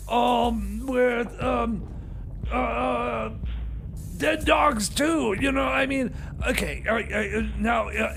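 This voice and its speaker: A man playing a flustered, apologetic substitute radio DJ on a comedy show, stammering and halting in exasperation. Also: a bit bright, full character voice, poor audio. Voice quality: high, heavily creaky voice. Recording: faint background noise.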